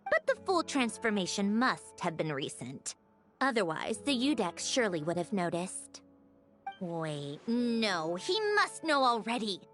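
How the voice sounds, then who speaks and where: A woman's voice: mid-range voice, big pitch swings, a little slow, fairly bright tone, soft background music. An actress voicing a self-assured, clever young woman in a video game, explaining things in a confident, dryly teasing way. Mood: thoughtful. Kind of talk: game voice acting.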